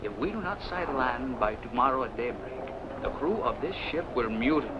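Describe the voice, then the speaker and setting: An actor playing a scheming Spanish sailor who questions people with sly menace, in an old adventure serial. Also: male voice, medium pitch, lively intonation, moderate pace, natural voice. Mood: conspiratorial. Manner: sly, interrogating, sardonic. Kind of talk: old-time science fiction adventure serial acting. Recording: noisy old serial soundtrack, constant background hiss.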